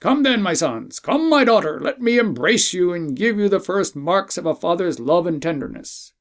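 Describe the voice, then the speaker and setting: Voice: medium pitch, sing-song, moderate pace, pitched above the performer's own voice. A storyteller voicing a dignified, fatherly emperor with stately, formal and emphatic speech in an audiobook tale. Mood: moved, fatherly. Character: dignified Persian emperor, gracious, credulous, fatherly.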